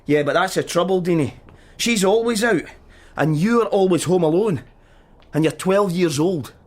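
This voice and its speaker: A man's voice: high voice, normal speed, a little mellow. An actor playing an agitated, suspicious man, blunt and insistent, in a naturalistic drama scene. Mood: suspicious, concerned. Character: agitated, suspicious man confronting a twelve-year-old boy. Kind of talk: naturalistic screen drama acting.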